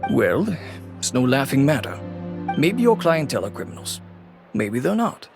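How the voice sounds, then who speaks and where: A man voicing a cautious, resigned middle-aged businessman in a video game, with a theatrical, measured, wry delivery. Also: medium pitch, sing-song, creaky, a little mellow, soft background music. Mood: grave. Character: older businessman, cautious, resigned father and fashion merchant.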